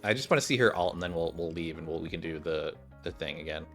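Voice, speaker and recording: A man's voice: low-pitched, fast, fairly bright tone, soft background music. A man giving slangy, offhand commentary as a gaming streamer. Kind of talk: casual gameplay commentary.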